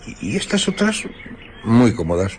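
An actor playing a grumbling, frightened, bumbling tramp in a radio drama, stammering and muttering. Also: male voice, medium pitch, huge pitch swings, moderate pace, a little hiss or hum. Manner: stammering, muttering, easily flustered.

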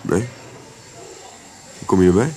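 A man's voice: deep voice, a little slow, poor audio. An actor playing a curt, brooding man set on revenge in a radio play. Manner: curt, flat replies.

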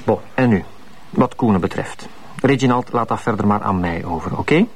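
An actor playing a bossy, condescending well-off schemer with a Flemish accent, patronising and controlled, in TV crime drama style. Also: male voice, on the low side, normal speed, a little hiss or hum, natural voice. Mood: patronising, controlling. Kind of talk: naturalistic TV crime drama acting.

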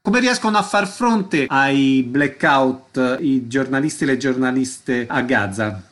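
A man's voice: mid-range voice, lively intonation, takes it slowly, a bit bright. A man working as an interviewer, delivering prepared questions and formal announcements. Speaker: radio-style interviewer.

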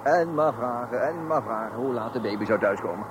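An actor playing a fussy, cheerful young father of three in a Dutch radio play, repeating words in quick bursts. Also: mid-range voice, animated, muffled recording, natural voice. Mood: bustling, excited. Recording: noisy old radio play recording.

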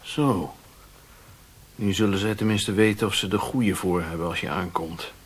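An actor playing a fat, shady Chinese merchant in a radio play, mocking and philosophical, with a deliberate, taunting way of talking. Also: male voice, low voice, steady tone, husky. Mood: mocking, amused. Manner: sardonic, taunting, deliberate, given to aphorisms.

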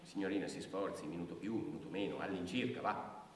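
An actor playing a pedantic, plodding police brigadier taking down a report in a theatrical, bureaucratic manner. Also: male voice, sits low, natural voice. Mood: patient, literal. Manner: officious, pedantic, literal-minded, slow dictation voice when recording statements. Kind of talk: theatrical stage acting. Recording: very echoey hall from far off, noisy.

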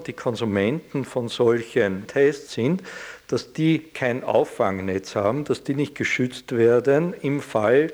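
Measured male academic being interviewed. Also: mid-range voice, a little mellow. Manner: measured, formal wording. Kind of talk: interview explanation.

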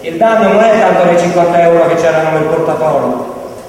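Uomo seccato recitato da un attore, in forte rimbombo. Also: male voice, on the high side, a little fast, darkish tone, close to an everyday voice. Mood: fed-up. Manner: grumbling. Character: harried, fed-up working man waiting in an office queue. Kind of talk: radio theatre acting. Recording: noisy, echoey hall, far from mic.

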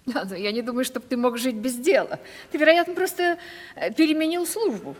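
An actress playing an affected, genteel, ageing rich landowner aunt in a Russian radio comedy play. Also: female voice, high-pitched, animated, a little fast. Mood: gracious. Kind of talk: 1970s Russian radio stage drama. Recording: long hall reverb.

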